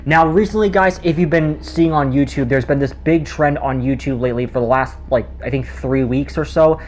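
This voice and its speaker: A man's voice: medium pitch, normal speed. A man giving a casual spoken video review in a rambling way, often stumbling over his words. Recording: noticeable background noise.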